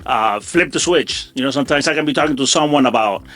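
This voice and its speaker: A middle-aged man answering as an interview guest in a casual, modest way, often halting and repeating himself. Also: mid-range voice, a bit bright.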